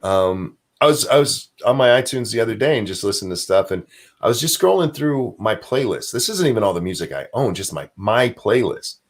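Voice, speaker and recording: A man with a very deep voice, co-hosting a casual livestream chat, rambling and swearing freely while he hunts for names he has forgotten. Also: very animated, brisk. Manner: profane, rambling, trails off searching for names. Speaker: podcast co-host.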